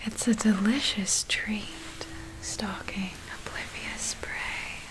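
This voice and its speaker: Girl acting out a possessive lady vampire for asmr, super slow breathy whisper, tender but menacing. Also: mid-range voice, fairly even intonation, lightly acted, low-quality recording. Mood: predatory, tender. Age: young adult.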